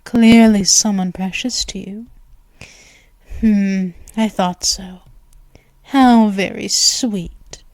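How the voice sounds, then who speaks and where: A woman voicing a seductive, menacing female vampire ruler in an ASMR audio roleplay, speaking slowly and teasingly and slipping into whispers, close to the mic. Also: mid-range voice, darkish tone, no echo, natural voice. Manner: slow, purring, teasing, often whispering.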